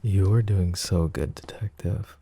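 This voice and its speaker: A man performs a flirty, possessive mafia boss for an ASMR roleplay. His voice is very deep and dark, with a heavy fry running through it. He speaks intimately and teasingly, as if to a lover across the table, with soft music faintly behind. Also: slow, full character voice. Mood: affectionate, confiding. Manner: intimate, teasing, casual, addressing the listener directly.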